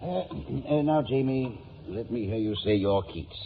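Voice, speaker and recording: A man's voice: medium pitch, lively intonation, unhurried. A man playing a pompous but kindly, hemming, pedantic family friend in 1950s radio drama style. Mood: fussy, kindly. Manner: hemming, pedantic, throat-clearing. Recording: dull, noisy vintage radio recording.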